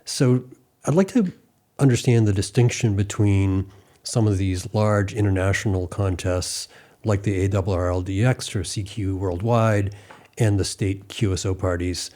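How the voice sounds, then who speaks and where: Deep, mellow-voiced male interviewer. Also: measured pace. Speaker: interview host. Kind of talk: conversational interviewing.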